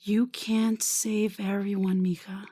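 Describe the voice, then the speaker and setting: A woman playing a stern, world-weary grandmother shopkeeper in an audio drama, with a husky, breathy voice. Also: medium pitch, little pitch movement, slow pace, background noise, close to an everyday voice. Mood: grave, resigned.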